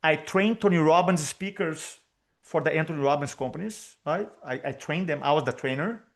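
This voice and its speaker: A man's voice: on the high side, moderate pace. A man from Brazil with a Brazilian Portuguese accent, whose first language is Portuguese, talking energetically as a speaking coach on a video call and repeating key points for emphasis. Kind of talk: interview guest on video call.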